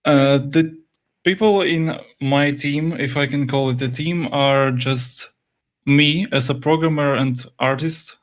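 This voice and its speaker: A man's voice: a little high, fairly even intonation, takes it slowly. A young man whose first language is Russian, a game developer answering interview questions hesitantly, with many fillers and restarts.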